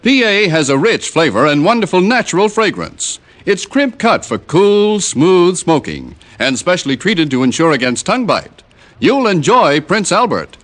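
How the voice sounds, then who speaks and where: A man's voice: sits high, sharp tone. A man working as a radio announcer, reading scripted credits and advertisements in 1950s broadcast style.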